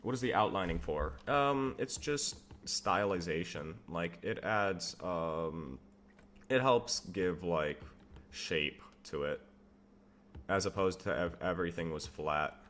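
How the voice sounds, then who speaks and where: Brief: young man, very deep voice, rambling live-stream chat, faint typing behind. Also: slow, slight background noise. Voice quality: says he has a loud voice. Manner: rambling, answers chat questions, self-amused. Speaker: game developer streamer. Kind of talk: casual live-stream chat while working.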